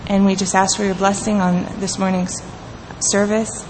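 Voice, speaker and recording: A woman's voice: mid-range voice, flat delivery, moderate pace. A woman earnestly reading scripture and leading a prayer in a church. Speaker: scripture reader and prayer leader. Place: church sanctuary. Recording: church hall reverb with faint room noise.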